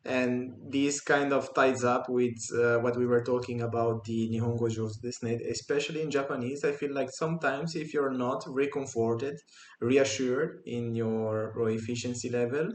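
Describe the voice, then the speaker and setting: A man with an Italian accent, speaking English as a second language, co-hosting a casual podcast chat and pausing often to find his words. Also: medium pitch, steady tone. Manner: halting, searching for words with many pauses. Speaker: podcast co-host. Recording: echoey room.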